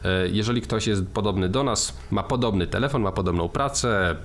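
A man's voice: lowish voice, fairly quick. A man co-hosting a film podcast, talking through his ideas with many restarts and fillers. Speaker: podcast co-host. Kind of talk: casual film discussion.

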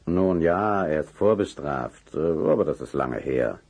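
An actor playing a courteous, earnest middle-aged businessman in a German children's radio play, husky and formal, with emphatic delivery. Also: extremely low pitch, animated, a little slow, darkish tone, full character voice. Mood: earnest, defensive.

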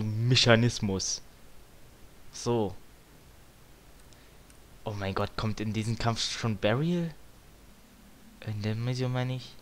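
A man's voice: medium pitch, fairly bright tone. A man giving casual, grumbling let's play commentary as he games, with some tongue clicking. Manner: grumbling, mild swearing. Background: video game music.

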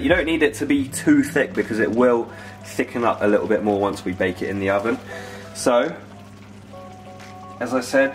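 A British man in his twenties hosting a casual cooking tutorial in an informal, enthusiastic way from a home kitchen. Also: medium pitch. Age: 20s. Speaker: cooking video host.

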